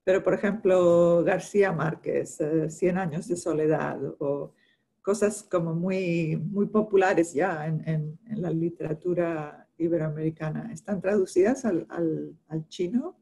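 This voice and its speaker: A woman interviewer on a video call, asking her questions in a measured way with some hesitation. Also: fairly low pitch, creaky, warm tone, slightly rough. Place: home office with bookshelves.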